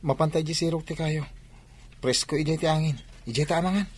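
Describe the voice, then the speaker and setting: A man's voice: fairly high pitch, a little fast, a little hiss or hum, lightly acted. Earnest young Ilocano man acted by a man. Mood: friendly, casual. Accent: Filipino, Ilocano. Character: earnest young male student athlete devoted to his mother. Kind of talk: amateur audio drama acting.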